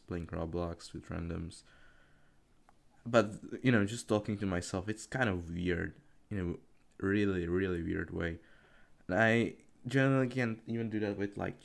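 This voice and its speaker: A young man of eighteen talks unscripted over his gameplay footage. His voice sits low and gravelly, with plenty of vocal fry. He rambles, swears casually and laughs at himself. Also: steady tone, normal speed. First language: Czech. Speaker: gaming commentary YouTuber.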